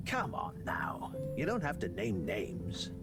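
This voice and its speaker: A man voicing a friendly, reflective robot buddy in a video game, speaking slowly and gently. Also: on the low side, huge pitch swings, full character voice. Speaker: game character reading a letter. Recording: game audio with loud music.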